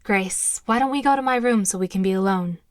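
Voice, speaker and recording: A woman playing a friendly, reassuring college-age witch in an audio drama, with a casual, soft-hearted manner. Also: medium pitch, fairly quick, dry recording, studio quality, natural voice. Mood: gentle.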